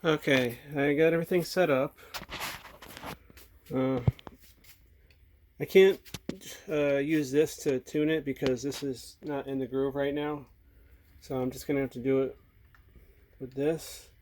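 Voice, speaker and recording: A man giving a casual, unscripted hands-on demonstration, thinking aloud in a low-key way as he works at an indoor bench. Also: fairly even intonation, measured pace, pauses often, darkish tone, a little hiss or hum. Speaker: hobbyist host showing a radio repair. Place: indoor workbench. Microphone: handheld or tripod camera mic.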